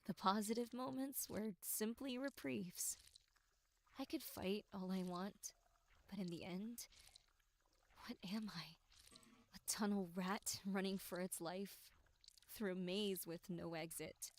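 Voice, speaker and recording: A woman voicing a tormented, despairing victim in a horror game monologue, with breathy delivery that slips into whispers. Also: medium pitch, fairly even intonation, takes it slowly, long pauses, a little hiss or hum. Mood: bitter despair. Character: tormented, despairing young victim musing bleakly on existence. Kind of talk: dramatic first-person horror game monologue.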